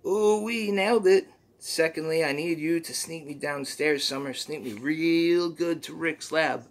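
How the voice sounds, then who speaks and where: A man's voice: fairly high pitch, measured pace, pitched above the performer's own voice. A man voicing a cheery, folksy little male poop creature from a comic, who keeps saying "ooh-wee.". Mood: chirpy.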